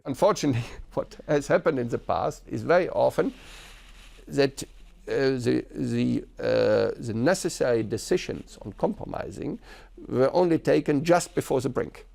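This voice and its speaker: A man giving formal interview answers as a college rector, in halting, measured phrases with hesitations. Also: mid-range voice, animated, vocal fry, a little mellow.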